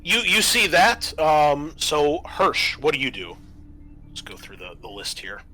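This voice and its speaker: A man's voice: a little high, big pitch swings, measured pace, pushed and bright, faint music behind, low-quality recording. A man acting as game master in a tabletop roleplaying game, explaining rules and narrating what the players' characters do.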